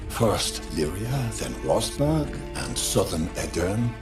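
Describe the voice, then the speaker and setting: A man's voice: on the low side, animated, takes it slowly, creaky, heavily acted. A man playing a cold, smug grand chancellor and army commander in a video game, speaking formally with condescending menace. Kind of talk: dramatic video game acting. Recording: echoey, with music behind.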